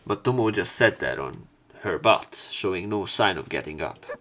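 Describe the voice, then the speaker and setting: A man with a fairly low voice plays through a visual novel. He reads the story text aloud and mixes in casual commentary of his own. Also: animated, moderate pace, fairly bright tone, a little hiss or hum. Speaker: let's player.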